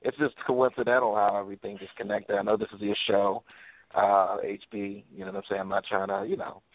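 A man's voice: fairly low pitch, little pitch movement, fairly quick, no echo. A man chatting casually and effusively as a guest caller, repeating himself like a hype man. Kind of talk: casual promotional chat. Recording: tinny phone line.

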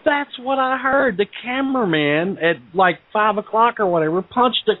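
A man's voice: high-pitched, expressive, moderate pace, no room sound. Laughing man co-host on a dry phone line. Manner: easygoing, laughs often, jokey. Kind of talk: casual radio interview.

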